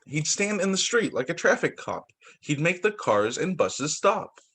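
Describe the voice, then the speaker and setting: Husky young man reading to kids. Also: a little high, measured pace. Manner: sing-song, expressive storybook reading with rhymes. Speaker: preschool teacher reading picture books. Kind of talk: read-aloud story time for young children. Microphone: video call.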